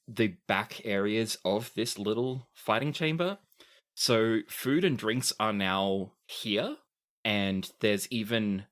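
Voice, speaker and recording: A game master narrating a tabletop fantasy game in a deliberate, descriptive storytelling manner. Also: medium pitch.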